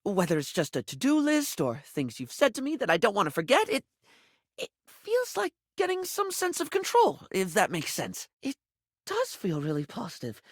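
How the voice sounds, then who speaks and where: A flamboyant video game beauty character, affectionate and easily muddled. The voice sits high and swings dramatically in pitch, gushing and rambling with little stammers. It is captured dry and close, with no room around it. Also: male voice, wildly swooping pitch, normal speed, no room sound, heavily acted. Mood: earnest, hopeful.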